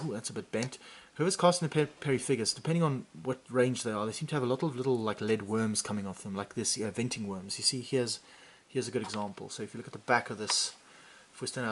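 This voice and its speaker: A man's voice: medium pitch, slight background noise. A man hosting a miniature painting vlog. He chats casually with many fillers and restarts, and gets livelier while showing figures up close. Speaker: hobby channel host.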